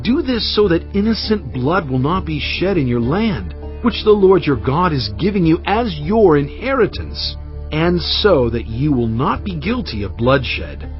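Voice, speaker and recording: A man reading scripture as a dramatized narration, solemn, measured and emphatic in delivery. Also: on the high side, very animated, dull audio. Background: cinematic music.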